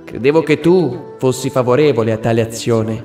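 A man's voice: medium pitch, fairly quick, dark tone, close to an everyday voice. Male dubber as solemn ancient warrior spirit, cartoon, vengeful and grave, music underneath. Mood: solemn persuasion. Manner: grave, portentous. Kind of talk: Italian cartoon fan dub.

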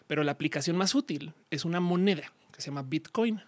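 A woman in her thirties with a Colombian accent, a podcast guest explaining things casually with slang and acted-out exchanges, on a studio boom mic. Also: brisk, dry recording. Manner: animated, slangy, acts out quoted exchanges. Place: small indoor studio. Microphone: studio mic on boom arm, headphones.

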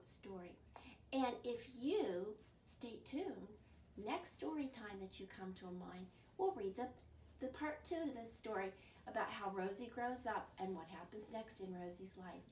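An older woman hosting an interactive picture-book storytime for young children, expressive and full of questions to her listeners. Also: fairly high pitch, a little hiss or hum. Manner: animated, questioning, exaggerated gasps and reactions. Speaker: children's librarian, storytime host. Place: living room at home, indoors. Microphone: camera a few feet away. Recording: roomy, distant from the microphone.

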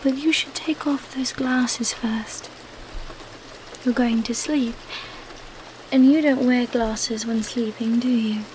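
A woman playing a seductive, commanding vampire in an ASMR roleplay, speaking softly and breathily, often in a whisper, with a coaxing, deliberate, hypnotic manner. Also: a little high, fairly even intonation, a little hiss or hum, rough recording. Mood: soothing, coaxing.

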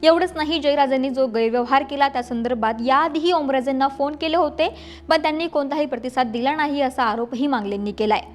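High-voiced woman presenting the news. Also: brisk. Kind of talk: scripted news narration.

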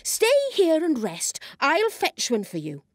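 A woman's voice: sits high, huge pitch swings, takes it slowly, no room sound, clean studio sound, pitched above the performer's own voice, full character voice. A woman voicing a friendly, helpful male animal who speaks eagerly and reassuringly.

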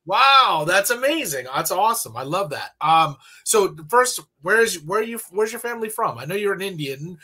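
A man hosts an interview over a video call from home, and he sounds keen and chatty. His voice sits fairly high. It is bright and forward. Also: very animated, moderate pace, hardly any pauses, breathy edge. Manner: chatty, upbeat, enthusiastic. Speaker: interview host. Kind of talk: casual interview.

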